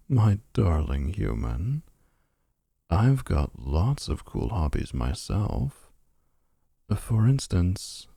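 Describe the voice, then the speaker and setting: A man playing a gravelly, smug vampire flirt. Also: low voice, mellow, dry recording, clean studio sound, exaggerated voice. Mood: flirty.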